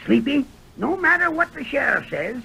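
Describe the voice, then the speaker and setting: An actor playing a feisty old prospector in a radio western, with a thin creaky voice and folksy American frontier speech. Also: male voice, very high-pitched, very animated, moderate pace, sharp tone, full character voice. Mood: stubborn, grumbling. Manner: folksy frontier dialect, cantankerous. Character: Missouri, feisty poor old prospector in the Old West. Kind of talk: 1930s-40s radio western acting. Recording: noisy vintage radio recording.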